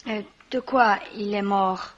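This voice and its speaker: An actress playing a nervous, bitter foreign hotel resident with a brusque, agitated manner in a radio mystery. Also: female voice, mid-range voice, steady tone, takes it slowly, highs cut off. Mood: wary, nervous. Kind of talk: French radio mystery play acting.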